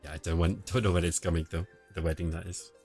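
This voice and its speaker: Low-voiced man casting a mobile match. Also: fast talker. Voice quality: quiet, low-level voice. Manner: relaxed, low-key, answers chat between play calls. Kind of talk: casual live-stream commentary. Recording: home stream audio with faint music behind. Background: faint background music.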